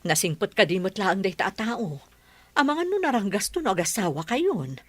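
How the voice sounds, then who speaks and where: A woman's voice: mid-range voice, very animated, a little fast, a bit bright. An actress with a Filipino accent playing a caring, cautious middle-aged mother in a radio drama. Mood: concerned. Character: caring, cautious mother advising her grown daughter.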